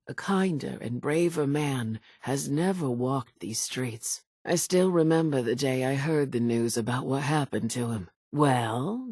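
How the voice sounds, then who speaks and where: A woman's voice: extremely low pitch, a little mellow, no echo, clean studio sound, full character voice. An actress playing an older island woman in a game, a folksy, dramatic storyteller who mourns her family and wants vengeance. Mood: coy, reminiscing. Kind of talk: scripted game character dialogue, theatrical storytelling.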